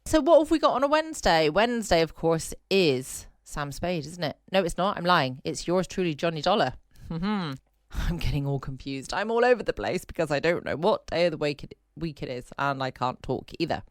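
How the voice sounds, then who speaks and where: A woman's voice: low-pitched, lively intonation, normal speed, no echo, studio quality. A woman with an English accent hosting a bedtime podcast in casual, rambling, self-mocking chat. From England. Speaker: podcast host. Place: quiet room at home.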